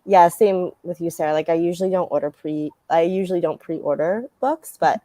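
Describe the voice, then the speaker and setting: A woman talking casually and conversationally in a relaxed group chat. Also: lowish voice, moderate pace, no room sound.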